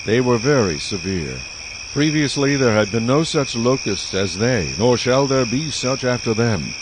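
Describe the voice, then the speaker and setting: A man narrating a dramatized audio Bible in a measured, solemn storytelling style. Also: mid-range voice, lively intonation, noisy background. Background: music.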